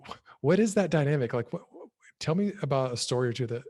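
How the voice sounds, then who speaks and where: A man's voice: mid-range voice, expressive, fast talker, darkish tone. A man hosting a podcast, asking long, rambling, thoughtful questions and reflecting on his guest's answers. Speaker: podcast host. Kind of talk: interview questions.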